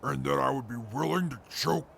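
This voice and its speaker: Gravelly, proud super mutant brute. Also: male voice, medium pitch, fairly quick, fairly bright tone, a little hiss or hum. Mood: grudging, haughty. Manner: pompous, formal wording. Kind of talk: post-apocalyptic audio drama acting.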